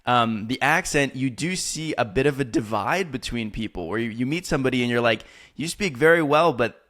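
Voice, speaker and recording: A man with a mid-range voice hosts the interview, asking curious follow-ups and pausing now and then on "um". He sounds engaged and conversational throughout. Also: mid-range voice, animated, fairly bright tone. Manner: curious, asks follow-up questions, some hesitation fillers. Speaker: podcast host, interviewer. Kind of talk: interview questions.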